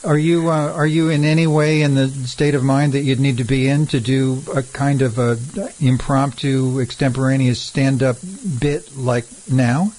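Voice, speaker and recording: A man's voice: medium pitch, flat delivery, normal speed, few pauses, darkish tone. Curious, rambling podcast host, a man. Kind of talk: casual interview chat.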